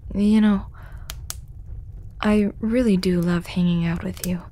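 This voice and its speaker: A performer voicing a shy, hooded male storyteller, centuries old, who speaks softly with lots of hesitant stammering and whispers in an audio roleplay. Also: mid-range voice, takes it slowly, slight background noise. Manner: hesitant and stammering, many ums and restarts; soft-spoken, often whispering.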